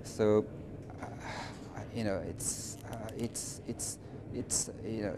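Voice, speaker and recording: A middle-aged man with a French accent and a low-pitched voice gives conversational interview answers. He is emphatic yet halting, circling back over his phrases. Clear background noise runs through the recording. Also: steady tone. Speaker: interviewee, finance professional.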